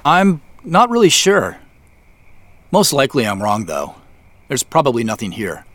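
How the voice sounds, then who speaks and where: A man's voice: mid-range voice, huge pitch swings, unhurried, fairly bright tone, no echo. An actor playing an evasive, polite man caught snooping, hesitant and apologetic, in an audio drama. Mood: uneasy, defensive.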